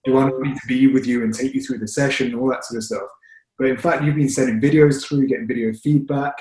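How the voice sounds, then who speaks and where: A man's voice: medium pitch, steady tone, quick pace, darkish tone, reverberant, poor audio. A man, a fitness coach hosting, asking questions in a chatty, encouraging way over a video call. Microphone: video call webcam.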